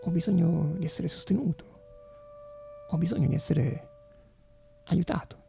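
An actor playing an earnest, anxious young student inventor in a theatrical radio monologue, intense and halting, repeating words. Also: male voice, on the high side, gravelly, mellow, soft background music. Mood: pleading, respectful.

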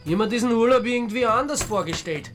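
A stubborn austrian amateur sleuth, dry and sardonic, everyday talk, music behind him. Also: male voice, very high-pitched, lively intonation, fast talker. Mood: defensive. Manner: dry, colloquial. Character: stubborn, sardonic amateur detective, ordinary man.

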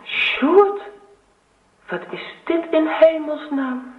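Tinny roomy radio drama, young wife, Netherlands Dutch, affectionate, practical, gently insistent. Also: female voice, sits high, extremely slow. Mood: exasperated, protesting. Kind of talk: radio play acting.

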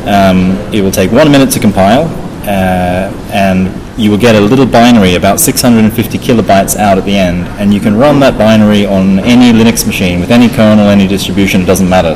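A man with a low voice gives an informal technical explanation as an interview guest. He goes step by step, often restarting phrases, over clear background noise. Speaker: interview guest, software developer.

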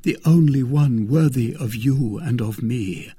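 A man's voice: mid-range voice, unhurried, warm tone, husky, no room sound, clean studio sound, pitched above the performer's own voice. A man playing a gallant, wily young swordsman in love, with fervent, courtly declamation in an audiobook of a classic novel. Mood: gallant, emphatic.

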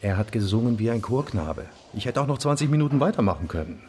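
An actor playing a dry, stubborn undercover police detective in a crime radio drama, laconic and sardonic. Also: male voice, medium pitch, quick pace, natural voice. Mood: dry, confident. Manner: laconic, sardonic, deadpan wording. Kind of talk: naturalistic German crime radio drama acting. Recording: faint background noise.

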